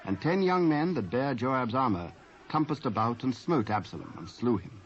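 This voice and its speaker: A British man narrating scripture in a measured, scripted style with archaic English. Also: medium pitch, animated. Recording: muffled cassette transfer with faint noise.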